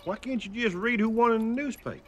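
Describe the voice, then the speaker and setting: A middle-aged male actor playing a gruff, baffled suburban sitcom dad, blunt and exasperated. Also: very high voice, fast talker, slight background noise. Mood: sceptical. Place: backyard, outdoors.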